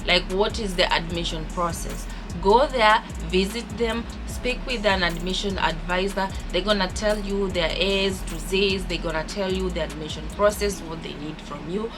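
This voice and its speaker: A woman's voice: on the low side, moderate pace, over music. A young woman from Kenya with a Kenyan accent, talking casually and upbeat to her channel's viewers, often repeating words as she goes. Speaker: vlogger. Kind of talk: casual vlog talk to camera.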